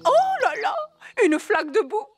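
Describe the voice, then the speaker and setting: Gracious old cartoon queen, high and lively, music under it. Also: measured pace, heavily acted. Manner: polite, stately, lively. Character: elderly gracious female queen. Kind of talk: cartoon voice acting. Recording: clean studio dub with background music.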